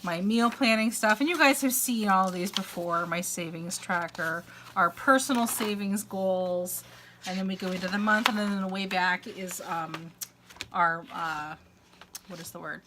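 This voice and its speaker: A woman's voice: medium pitch, normal speed, background noise. A middle-aged woman vlogging from home in a long, rambling casual chat, congested and fighting a dry cough, with many uhs and restarts. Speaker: crafter and vlogger. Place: at home, indoors.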